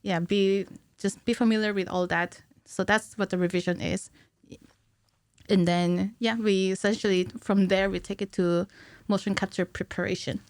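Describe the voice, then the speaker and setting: Female podcast guest, thirties, mid-range voice, conversational explainer who pauses to think; dry studio recording. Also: mid-range voice, fairly even intonation, no room sound. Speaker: podcast guest, cinematic animator. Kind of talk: conversational technical explanation in an interview. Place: small indoor podcast studio. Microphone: studio microphone on a stand.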